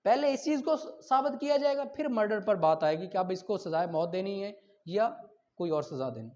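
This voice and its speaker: Male law lecturer, Pakistani accent, high voice, didactic delivery that checks for understanding, on a dull lo-fi recording. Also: expressive, moderate pace, darkish tone. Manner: didactic, checks understanding often, switches between Urdu and English. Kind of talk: classroom lecture, reading statutes aloud and explaining them.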